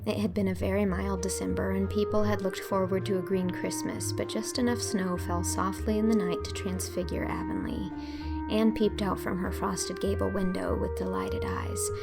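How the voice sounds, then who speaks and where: A woman's voice: medium pitch, creaky. A woman narrating an audiobook in a storybook reading manner.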